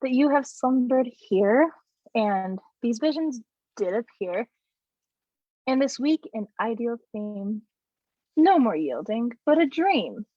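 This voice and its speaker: Woman as a mischievous honest sprite, theatrical verse recital, slow measured delivery, dull lo-fi audio. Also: a little high, lively intonation, warm tone. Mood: measured, apologetic. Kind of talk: recited Shakespeare verse monologue. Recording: roomy echo, dull lo-fi audio.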